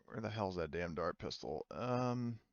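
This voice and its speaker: A man casually narrating a tabletop roleplaying game as game master, improvising and thinking aloud in a halting way. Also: deep voice, animated, creaky, dry recording, highs cut off.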